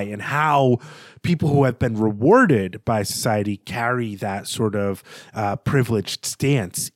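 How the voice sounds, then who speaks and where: A man's voice: on the low side, no echo, clean studio sound. A man speaking as a podcast host in conversational literary analysis, thoughtful and articulate, with hesitant stammered repeats. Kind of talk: literary analysis in conversational monologue, with quoted passages.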